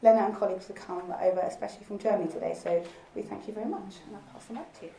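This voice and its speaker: A woman with a croaky, worn voice gives a chatty, informal welcome, stopping often with ums. She is heard from some distance in a large, echoey museum hall. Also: medium pitch, fairly even intonation, rapid-fire, warm tone, a little hiss or hum. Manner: chatty, laughing, many ums. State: croaky voice from recent illness. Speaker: lab director hosting a launch event. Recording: echoey hall, speaker far from mic.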